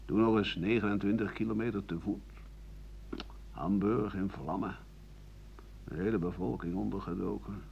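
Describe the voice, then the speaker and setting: An actor playing a bitter, aging exiled writer-doctor in a radio drama monologue, sardonic and halting, often sinking to a husky mutter. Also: male voice, fairly low pitch, steady tone, slight background noise. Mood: bitter, weary. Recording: muffled 1980 radio broadcast.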